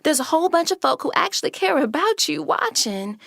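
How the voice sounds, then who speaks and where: An actress as a creaky-voiced, caring young woman. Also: high voice, very animated, fairly quick, fairly bright tone, no echo, studio quality, exaggerated voice. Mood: gently concerned. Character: polite young woman, caring and frankly reproving. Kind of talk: radio play acting.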